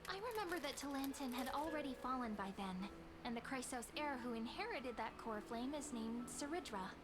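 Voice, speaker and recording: A very high-pitched young girl companion from a video game. She is gentle, earnest and a bit hesitant, thinking things through, with noisy ambience behind her. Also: expressive, brisk. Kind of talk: scripted video game dialogue. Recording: clear noise behind the voice.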